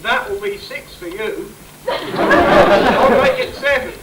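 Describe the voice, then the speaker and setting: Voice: medium pitch, slow, over a noisy crowd, lightly acted. An amateur actor playing a comic, haggling character in a Christmas pantomime. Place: stage performance before a live audience. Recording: echoey, noisy amateur stage recording, distant.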